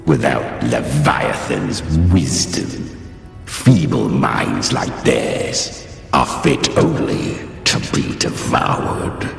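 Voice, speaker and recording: A man's voice: wildly swooping pitch, extremely slow, heavily acted. A man voicing a contemptuous, arrogant ancient monster in a fantasy game, with a growling, theatrical, commanding delivery. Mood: mocking, scornful. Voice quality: deep gravelly growl. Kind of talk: dramatic fantasy game acting. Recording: echoing hall reverb, distant, music underneath.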